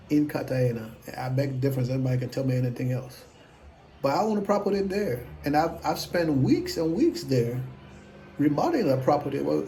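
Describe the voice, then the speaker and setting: A man's voice: fairly high pitch, vocal fry, mellow, rough recording. A man in his fifties, talking casually to camera as an investing vlogger, blunt, self-assured and rambling. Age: 50s.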